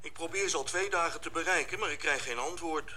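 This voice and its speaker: An actor playing an eager, polite spaceship radio operator in a radio play. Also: male voice, a little high, quick pace, pushed and bright. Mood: polite, patient.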